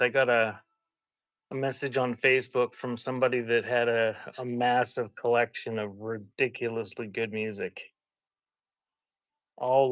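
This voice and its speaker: A man with a bright, forward voice at a middling pitch, recorded close and dry. He sells live in an informal, conversational way, drifting between item call-outs and rambling asides. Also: dry recording. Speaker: live auction seller, host. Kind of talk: casual live-selling patter with chatty anecdotes.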